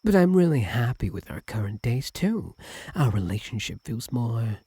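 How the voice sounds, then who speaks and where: A man playing a possessive, doting yandere fox-spirit husband in an intimate ASMR roleplay, breathy, tender and clingy with a sweetly menacing edge. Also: mid-range voice, sing-song, normal speed, mellow, dry recording. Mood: adoring. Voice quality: breathy, husky, at times whispered. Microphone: close, dry microphone.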